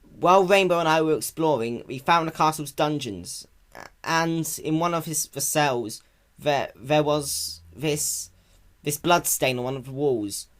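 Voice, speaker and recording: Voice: mid-range voice, a little slow, voice pushed up, close to an everyday voice. A performer voicing a young boy baby dragon in a cartoon-based story reading, anxious, protective and pleading, with a stammer. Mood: hesitant, tearful.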